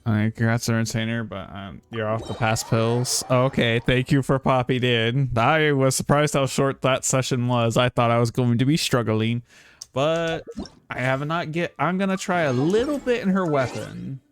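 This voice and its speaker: A man's voice: mid-range voice, normal speed, a little hiss or hum. A man streaming a game with casual commentary, rambling and thinking aloud in an informal way. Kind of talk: casual livestream gameplay commentary. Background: faint game music.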